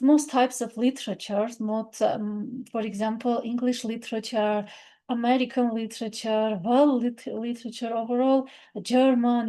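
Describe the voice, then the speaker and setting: A woman's voice: on the high side, mellow. A woman whose first language is Armenian, speaking English in an unscripted, reflective talk about poetry, with many fillers and restarts. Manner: reflective, thoughtful. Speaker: literature video presenter.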